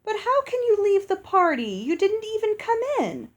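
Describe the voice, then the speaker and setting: Woman voicing an effusive, high-pitched storybook giantess. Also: big pitch swings, moderate pace. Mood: distressed, pleading. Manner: gushing, exclamatory. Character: effusive, excitable giantess mother hosting a wedding.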